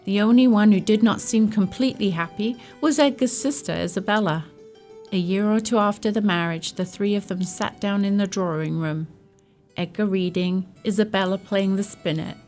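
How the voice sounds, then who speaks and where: A woman plays a respectful housekeeper telling a family's story in a literary radio drama. Her mid-range voice is measured and composed. Soft harpsichord sounds behind her. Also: medium pitch, faint music behind, natural voice. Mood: reflective. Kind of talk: scripted narration and radio drama acting.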